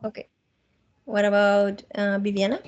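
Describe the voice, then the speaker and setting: Slow, patient Colombian woman teaching English. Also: mid-range voice, monotone, highs cut off. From Norte de Santander, Colombia. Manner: patient, corrects gently, mixes Spanish and English. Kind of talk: online English class.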